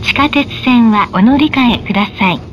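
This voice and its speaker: Higher-voiced woman making formal tram announcements. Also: dull audio. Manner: polite, measured, carefully enunciated. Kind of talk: formal scripted stop announcements. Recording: noisy in-vehicle recording with tram rumble. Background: tram running noise.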